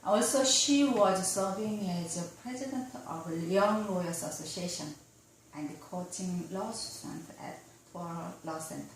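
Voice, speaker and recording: Woman attorney, Korean native speaker, lowish voice, measured formal delivery, roomy distant recording. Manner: slow, careful, somewhat formal answers. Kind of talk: answering interview questions. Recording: roomy, distant mic with faint noise.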